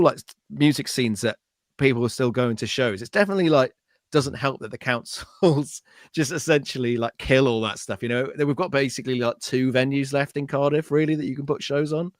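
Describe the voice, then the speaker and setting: A man's voice: mid-range voice, no echo, studio quality. A man from Anglesey with a Welsh accent, Welsh his first language, chatting as a podcast guest in a rambling, self-deprecating way full of filler words. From Wales (Anglesey). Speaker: guest, pedal maker. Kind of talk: casual podcast chat.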